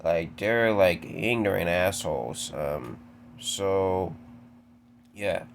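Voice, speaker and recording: A young man from the American Deep South with a very deep voice, stuttering and halting through a casual solo Q&A. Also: animated, vocal fry, slight background noise. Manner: stuttering, halting with repeated words. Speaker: video creator answering viewer questions.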